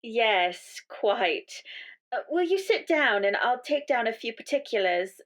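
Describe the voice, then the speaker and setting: Radio comedy part: young nurse, slightly high voice, prim, brisk, dryly patient and formal. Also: female voice, very animated, a crowd in the background. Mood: politely businesslike.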